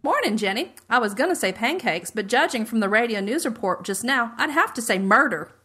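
Bright-voiced folksy housemaid. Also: female voice, fairly high pitch, lively intonation, brisk, breathy edge, exaggerated voice. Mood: wry, helpful. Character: folksy, wry household maid in radio mystery. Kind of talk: old-time radio drama acting parody.

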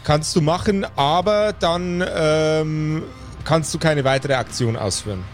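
A man with a fairly high voice runs a tabletop role-playing game as game master. He narrates in a measured, deliberate way, leaving pauses. Faint music plays behind him.

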